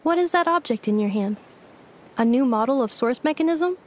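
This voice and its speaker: A woman's voice: high-pitched, animated, normal speed. An actress voicing a polite, literal-minded female robot in a video game, speaking in stilted, formal, emotionless phrases. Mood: flat, inquisitive. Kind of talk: scripted video game dialogue.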